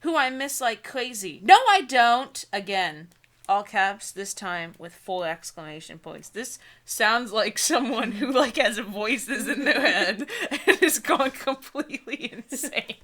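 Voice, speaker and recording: A woman's voice: a little high, animated, slow pace, pushed and bright. A woman co-hosting a comedy book reading, reading aloud mock-dramatically and breaking into casual, giggly asides.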